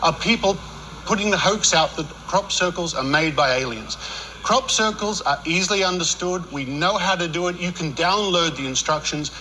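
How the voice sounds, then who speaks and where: A man's voice: high voice, normal speed, pushed and bright, slight background noise, poor audio. An Australian man arguing as a skeptic in a broadcast debate, emphatic and dismissive.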